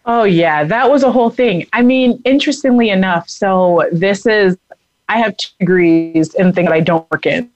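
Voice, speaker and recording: A woman singer in her thirties, from the American East Coast, chatting brightly and laughing as an interview guest. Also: medium pitch, normal speed, dry recording, dull audio. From United States East Coast. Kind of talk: casual interview talk.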